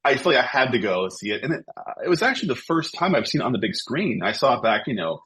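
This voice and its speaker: Fast-talking enthusiastic man in his fifties. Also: medium pitch. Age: 50s. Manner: energetic, enthusiastic, repeats words when excited. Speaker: podcast guest. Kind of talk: casual film podcast chat.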